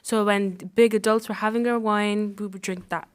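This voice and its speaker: A woman's voice: medium pitch, level tone, moderate pace, clean tone. A teenage female student from the Republic of the Congo, giving casual answers as an interview guest. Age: teens.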